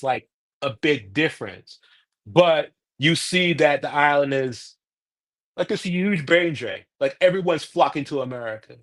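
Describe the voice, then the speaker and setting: A young man chatting casually as a podcast co-host, pressing his points and sometimes trailing off quietly. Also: mid-range voice. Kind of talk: casual chat.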